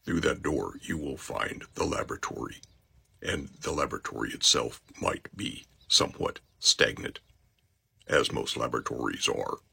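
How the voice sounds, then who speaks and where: A man voicing an enigmatic male audiobook character with an emotionless, almost computer-generated delivery, flat and slow. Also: a bass voice, creaky, slightly rough, dry recording, voice pushed down, exaggerated voice. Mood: detached, formal.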